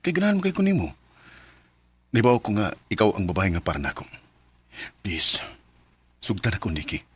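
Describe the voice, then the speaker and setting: An actor playing an eager, devoted young suitor in a Filipino radio drama, speaking earnestly and coaxingly with a Filipino accent. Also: male voice, on the low side, wildly swooping pitch, normal speed, breathy edge, no echo, full character voice. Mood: pleading, romantic.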